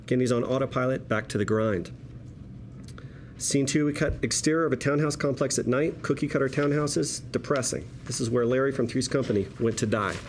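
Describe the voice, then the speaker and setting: A man's voice: mid-range voice, brisk. A man reading screenplay directions aloud as narration at a table read. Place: room with a group at a table read. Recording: noisy room ambience.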